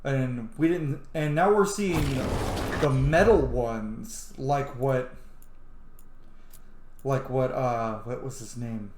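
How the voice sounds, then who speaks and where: A man's voice: medium pitch, takes it slowly, long pauses, a little mellow, a little hiss or hum. A man giving casual let's-play commentary, exclaiming as he plays. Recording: roomy echo over game music.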